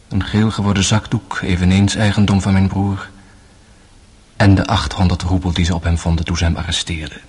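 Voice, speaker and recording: A man narrating a radio drama as a grave, sorrowful young brother, in measured, literary phrases that sink to a hush. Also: deep voice, husky.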